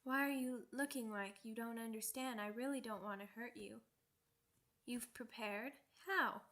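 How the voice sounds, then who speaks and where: A woman's voice: fairly high pitch, measured pace, a bit bright. A woman acting a young werewolf pup girl in an ASMR roleplay, timid, earnest and sweet, with a hesitant, childlike way of talking. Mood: anxious, trusting. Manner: hesitant, simple childlike wording with stammered repeats.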